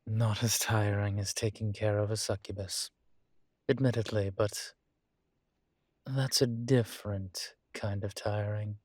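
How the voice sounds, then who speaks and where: A man acts out a weary male incubus who teaches college, gruff yet fond beneath it. His voice is low-pitched and husky, recorded dry and close in an intimate audio roleplay. He talks in halting, candid phrases broken by sighs. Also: steady tone, darkish tone, no room sound. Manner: slow, halting, sighing, candid.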